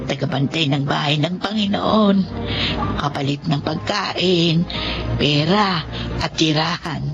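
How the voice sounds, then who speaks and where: An actress playing a humble, pious, repentant older woman, a former vendor turned beggar, in earnest, pleading Tagalog radio drama style. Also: deep voice. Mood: grateful, content. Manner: pleading, earnest, slow and heartfelt. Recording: dull audio under prominent music.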